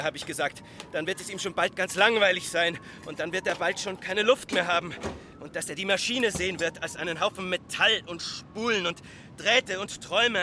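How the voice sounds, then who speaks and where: A man's voice: high-pitched, pushed and bright. A man playing a bitter, stubborn villager in a radio drama, with brooding, repetitive, poetic phrasing. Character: bitter, stubborn villager resenting a relative's machine. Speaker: actor. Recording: clear outdoor urban, vehicle noise.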